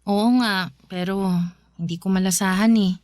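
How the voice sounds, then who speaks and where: A woman's voice: mid-range voice, fairly even intonation, moderate pace, a little mellow, no echo, clean studio sound, lightly acted. A woman playing a bitter, grieving young wife in a radio drama, with a snappish, emotional delivery. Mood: sullen.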